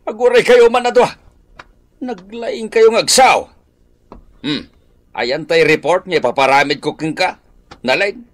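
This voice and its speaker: Filipino village captain, radio drama, bossy, scolding, fairly high voice, lively swooping intonation. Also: male voice, unhurried, long pauses. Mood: exasperated, scolding. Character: exasperated village captain bossing his staff around.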